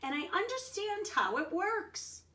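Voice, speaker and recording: Woman reading as an eager, high-pitched little storybook boy. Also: very animated, measured pace, bright, forceful tone, full character voice. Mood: excited. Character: excited, kind-hearted little boy in a storybook. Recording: roomy echo, distant from the mic.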